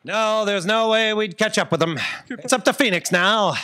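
An actor playing an intrepid, courteous space detective, explaining things calmly in a staged audio drama. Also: male voice, high voice, normal speed. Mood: calm, deductive. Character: intrepid male space detective, calm and polite. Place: theatre stage, indoors. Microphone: stand microphone. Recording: live stage recording with hiss.

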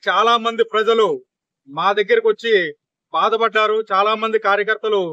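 A middle-aged man, a local party leader, speaks emphatically into press microphones outdoors, pausing on drawn-out fillers. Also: high voice, flat delivery, pushed and bright, no echo, muffled recording. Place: outdoors on a street. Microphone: handheld press microphones.

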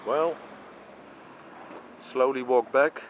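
A man's voice: mid-range voice, extremely slow, lo-fi sound. A man with a Dutch accent chatting casually while filming a walk, exclaiming often. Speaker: vlogger filming with handheld camera. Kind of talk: casual walking commentary. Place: outdoors at a busy bike parking by a street. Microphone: handheld camera mic. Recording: noisy outdoor street recording.

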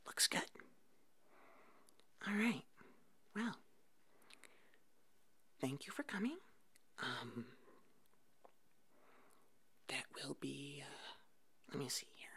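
A woman voicing an eccentric, bumbling puppet monster hairdresser in a halting, breathy whisper for an ASMR roleplay. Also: rumbling low, big pitch swings, a bit bright, heavily acted. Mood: sheepish. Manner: halting, hesitant, slow.

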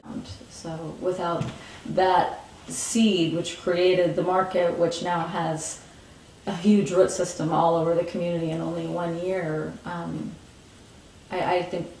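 A woman, answering interview questions thoughtfully with pauses and restarts, seated in a room with bookshelves. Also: lowish voice, a little mellow, reverberant, distant mic, a little hiss or hum, poor audio. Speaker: market organizer.

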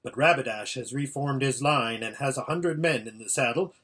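A man's voice: mid-range voice, normal speed, a bit bright, husky. A man voicing an impatient old hermit seer in a storybook, giving an anxious, exclamatory running report. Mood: urgent. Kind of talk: storybook character voice.

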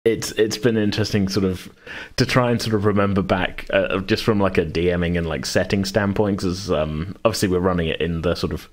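Deep-voiced rambling British man. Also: fairly even intonation, fairly quick, few pauses. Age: 30s. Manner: rambling, hedging, self-interrupting. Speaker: podcast host and game master. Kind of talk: casual chat.

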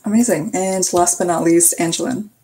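A woman's voice: on the low side, fairly even intonation, warm tone, a little hiss or hum, rough recording. A young woman from Canada hosting a casual group discussion over a video call, passing the word from guest to guest. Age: young adult. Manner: friendly, quick hand-offs between guests. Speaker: host.

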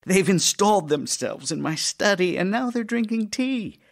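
An actor playing a witty, weary, affectionate middle-aged playwright in an audio drama of love letters, with a dry, teasing, melancholy and theatrical manner. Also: male voice, sits high, very animated, normal speed, vocal fry, exaggerated voice. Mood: harried, exasperated.

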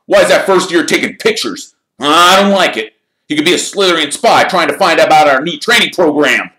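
A fiery young sports captain from a children's storybook, acted out by a male reader. He is forceful and indignant, pitched far above the reader's own voice. The sound is roomy and echoing. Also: sing-song, moderate pace, heavily acted. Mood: suspicious. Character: fiery, obsessive teenage boy sports captain. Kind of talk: audiobook character voice in a children's fantasy story.

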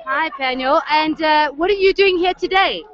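A woman with a South African accent interviews passers-by in a high voice. She is friendly and encouraging in a live outdoor style. The recording sounds slightly muffled. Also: moderate pace, no echo. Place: outdoors at a crowded street gathering. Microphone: handheld microphone. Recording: muffled, band-limited outdoor field audio.

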